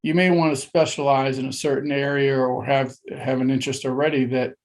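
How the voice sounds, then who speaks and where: Man presenting a webinar, halting delivery. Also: medium pitch, little pitch movement. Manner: halting, many fillers and restarts. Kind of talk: informal presentation.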